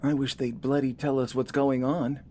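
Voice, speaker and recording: A man's voice: medium pitch, flat delivery, moderate pace, darkish tone, slight background noise, voice pushed up, natural voice. A man voicing a nervy young village militia lad, urgent and hesitant, in an audio story. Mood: irritable.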